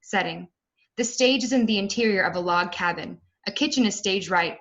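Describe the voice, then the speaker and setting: A woman's voice: medium pitch, a bit bright, dull audio. A woman reading stage directions as scripted narration for a play reading.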